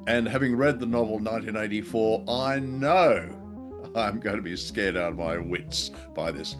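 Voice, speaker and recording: A man co-hosting a show, giving a measured introduction in a playful, mock-fearful way. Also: fairly low pitch. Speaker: podcast co-host. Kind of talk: scripted-sounding show introduction chat. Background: plucked guitar music.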